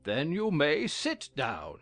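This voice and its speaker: A man's voice: on the high side, huge pitch swings, slow, fairly bright tone, dry recording, faint music behind, exaggerated voice. A man playing a pompous, testy, dim-witted king who commands a court in an audio drama.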